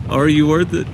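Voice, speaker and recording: A man playing a cocky, easygoing young moonshiner who sweet-talks his girlfriend in an audio drama. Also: a little high. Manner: easygoing, coaxing. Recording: noisy rain and vehicle ambience.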